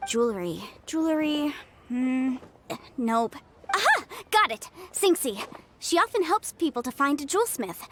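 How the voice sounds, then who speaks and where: An actress playing a bright, confident young female legal advisor in an anime-style game, articulate and brisk. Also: high-pitched, very animated, noisy background. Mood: thoughtful. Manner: articulate, brisk, self-assured, lawyerly. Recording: music behind the voice.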